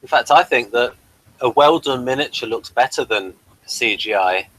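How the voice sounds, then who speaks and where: A man's voice: mid-range voice, normal speed, a bit bright, low-quality recording. A man talking casually as co-host of a review, in a hesitant, rambling way. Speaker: co-host of a sci-fi TV episode review. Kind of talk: casual chat reviewing slides.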